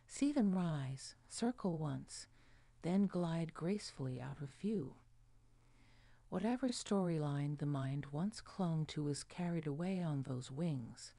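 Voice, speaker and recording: A woman narrating a guided sleep hypnosis in a soft, soothing, hushed manner. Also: low voice, no room sound. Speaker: meditation guide.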